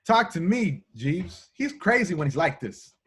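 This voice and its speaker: A man's voice: on the high side, very animated, measured pace, a bit bright, slight background noise. A man playing a young streetwise cop, bewildered but insistent, in an amateur table read of a film script. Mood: urgent.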